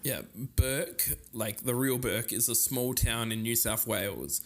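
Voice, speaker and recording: A man's voice: mid-range voice, measured pace. A man reading scripted narration as co-host of an investigative podcast.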